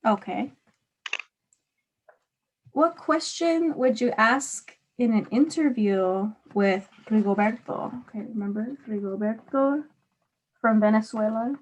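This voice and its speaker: A woman teacher with a husky voice reading aloud and patiently putting simple guiding questions to a student. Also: fairly high pitch, takes it slowly, low-quality recording. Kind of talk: read-aloud and one-on-one comprehension questions.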